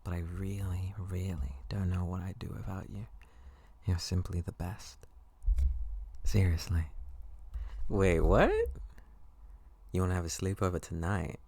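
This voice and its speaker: A man voicing an excitable, affectionate young college best friend in an intimate ASMR roleplay, playful and prone to gushing rambles. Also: low voice, unhurried, pauses often, breathy edge, heavily acted. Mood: excited, grateful.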